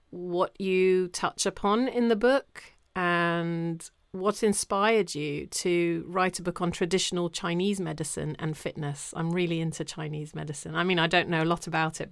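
A woman's voice: lowish voice, fairly even intonation, moderate pace, creaky, dry recording, clean studio sound. A woman hosting an interview, asking her guest questions in a friendly, enthusiastic way. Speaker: interview host. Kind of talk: podcast interview.

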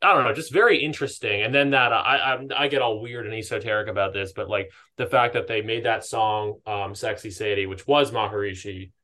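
Man, podcast co-host, fairly low pitch, bright forceful tone, casual, rambling, self-interrupting. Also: brisk, hardly any pauses.

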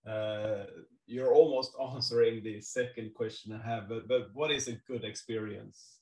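A man's voice: fairly low pitch, steady tone, moderate pace. A male podcast host asking questions hesitantly, with many fillers and repeated words. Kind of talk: casual interview hosting. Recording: echoey room, far from the mic.

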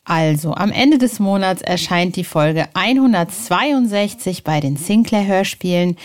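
A woman's voice: fairly low pitch, a little mellow. A woman in her forties hosting a podcast in a casual, chatty way, with colloquial, exclamatory phrasing. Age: 40s. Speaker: podcast host.

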